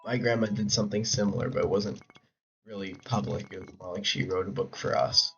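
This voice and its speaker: Hoarse young man rambling on stream. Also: on the low side, steady tone, brisk, a little hiss or hum. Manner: rambling, chatty, answers chat with fillers and restarts. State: hoarse, losing his voice. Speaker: game streamer. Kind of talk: casual live-stream chat while playing. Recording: dull lo-fi mic with faint keyboard typing.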